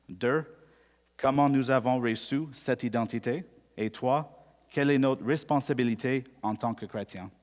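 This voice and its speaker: A Canadian man whose first language is English, preaching a sermon in measured, deliberate speech and slowing down to read scripture. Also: mid-range voice, a little mellow, in a large hall.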